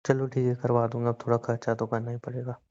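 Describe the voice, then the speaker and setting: A man's voice: medium pitch, completely flat delivery, fast, a little mellow. A young man joking and teasing his girlfriend in a casual phone call. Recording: dull lo-fi call recording.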